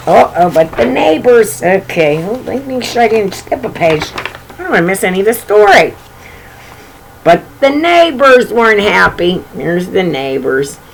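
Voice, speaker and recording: A woman reads a picture book aloud for young children in a very animated, swooping voice at a middle pitch. She talks straight to her listeners, drifting into chatty asides and repeating little phrases as she goes. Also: creaky, a little hiss or hum, rough recording. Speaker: children's story reader and host.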